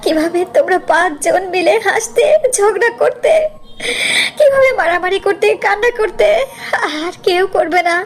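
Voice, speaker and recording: A woman's voice: very high-pitched, normal speed, pitched above the performer's own voice. A woman voicing a doting, tearful mother of five brides in a cartoon story, plaintive and affectionate. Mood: tearful, tender. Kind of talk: cartoon story character acting.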